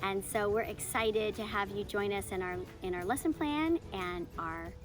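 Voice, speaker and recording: A woman's voice: mid-range voice, normal speed, music in the background. A woman hosting a children's read-aloud lesson in a friendly, upbeat way, speaking simply to parents and little ones.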